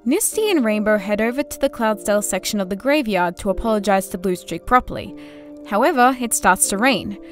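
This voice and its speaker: A woman's voice: medium pitch, animated, a little fast. A woman narrating a scripted recap of a fantasy tale. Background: background music.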